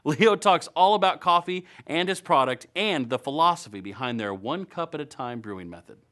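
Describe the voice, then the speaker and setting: A man's voice: a little high, lively intonation, moderate pace, fairly bright tone, a little hiss or hum. An American man in his thirties presenting a scripted show to camera with wry, playful asides. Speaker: web series host. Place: indoor studio.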